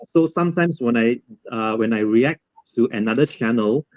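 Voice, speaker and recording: A man explains himself politely on a live stream, pausing with frequent fillers. His voice sits at a middle pitch and sounds dry and a little dull, with no room around it. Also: dry recording. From Malaysia. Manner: formal and polite, with frequent "uh" pauses. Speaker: YouTube streamer and guest. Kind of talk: casual chat on a live stream.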